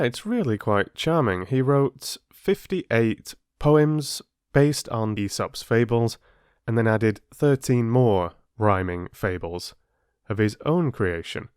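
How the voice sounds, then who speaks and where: A man with a fairly low voice talks slowly and gently to his listeners as a storyteller host. The sound is dry, with faint music behind him. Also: no echo. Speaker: audiobook storyteller and channel host. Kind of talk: casual, appreciative chat to listeners.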